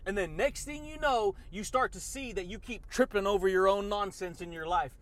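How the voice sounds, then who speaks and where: Hyped-up high-voiced motivational man. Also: normal speed. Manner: hyped, slangy, keeps saying dude and baby. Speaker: motivational podcast host. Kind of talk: energetic motivational monologue.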